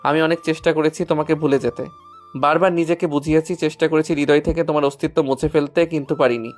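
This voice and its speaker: A man's voice: a little high, fairly even intonation, fast, faint music behind, close to an everyday voice. A man playing a proud, betrayed young lover in a romantic audio story. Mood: tender, devoted. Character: angry betrayed young male lover, proud but softening.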